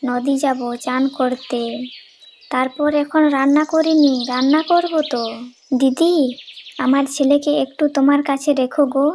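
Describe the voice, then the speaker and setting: A woman's voice: high voice, fairly even intonation, moderate pace, darkish tone, a little hiss or hum, natural voice. A woman voicing a sweet, dutiful young village wife and mother in a storybook cartoon, fussing affectionately. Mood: friendly.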